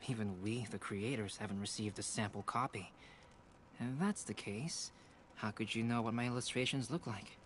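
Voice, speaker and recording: A man voicing a calm, courteous male editor in an anime game. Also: lowish voice, moderate pace, a little hiss or hum, lightly acted. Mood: questioning.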